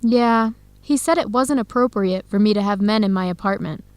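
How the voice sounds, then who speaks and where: A woman playing an earnest young Ethiopian-woman in an educational radio drama, speaking clearly and deliberately. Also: medium pitch, no echo, close to an everyday voice. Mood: frustrated, explaining.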